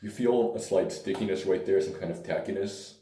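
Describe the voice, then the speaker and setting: A man with a hoarse, worn-out voice, casually reviewing a hair product in a relaxed, rambling way with hesitant fillers. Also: low-pitched, a little fast, slight background noise. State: hoarse, lost voice. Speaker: pomade reviewer. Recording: echoey room, mic at a distance.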